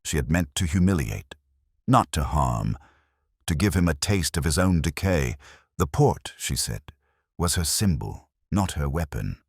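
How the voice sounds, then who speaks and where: A man reading a scripted first-person detective story in a measured, reflective, literary manner. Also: rumbling low, very animated, slightly rough, no echo, clean studio sound. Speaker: narrator.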